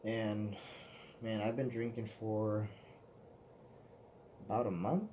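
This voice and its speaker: A man's voice: lowish voice, steady tone, slow, mellow, slight background noise. A man in his twenties, hosting his own vlog in a loose, profane, meandering casual chat. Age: 20s. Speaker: vlog host.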